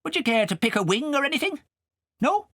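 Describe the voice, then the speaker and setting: A man voicing a sly, genial fox in a storybook, with hearty old-fashioned gentlemanly patter. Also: extremely high pitch, sing-song, a little fast, no echo, studio quality, pitched above the performer's own voice, full character voice. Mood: affable, hospitable. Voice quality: unpleasant singing voice. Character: sly fox, genial bachelor host and gleeful poacher.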